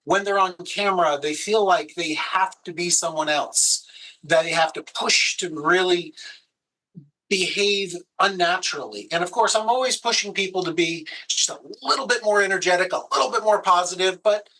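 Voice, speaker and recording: A man's voice: sits high, moderate pace, bright, forceful tone, poor audio. A man, a video producer, giving easygoing, practical advice in a casual conversation.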